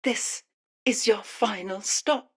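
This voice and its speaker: An actress playing a grim, reflective woman stranded in a nightmare world, musing in a measured, ominous way for a game audio log. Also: medium pitch, fairly bright tone, breathy edge.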